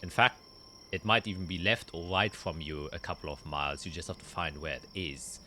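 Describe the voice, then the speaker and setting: A man with a low, bright and forward voice narrates as a game master. He describes scenes in a halting way, working them out as he speaks. Insect ambience and some noise sit behind him. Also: steady tone. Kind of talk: narrating a tabletop roleplaying game.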